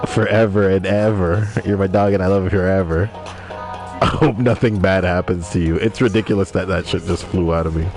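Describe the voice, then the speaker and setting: A man's voice: sits low, steady tone, a little fast. A young man streaming a game, reading viewer messages aloud and joking with crude, profane banter. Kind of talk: casual gaming livestream chat. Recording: music playing behind voice.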